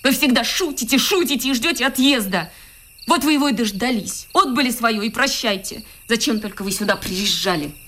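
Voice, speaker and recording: An actress in a Russian radio drama playing a proud, teasing village farm manager with a wistful streak. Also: female voice, on the high side, expressive, fairly quick, fairly bright tone, slight background noise. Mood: bitter, wistful.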